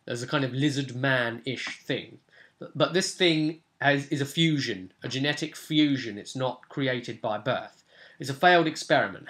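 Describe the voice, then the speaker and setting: Casual male game master recapping a session, bright, forward voice, conversational with explanatory asides. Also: mid-range voice.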